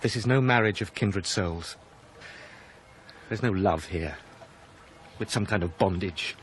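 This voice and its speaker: A man's voice: deep voice, a little slow, slight background noise. An actor playing an indignant, protective house guest in a radio drama, an Englishman with an intense, insistent way of talking. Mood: urgent, earnest. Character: earnest, indignant younger male house guest, protective and anxious. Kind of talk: 1990s radio drama acting.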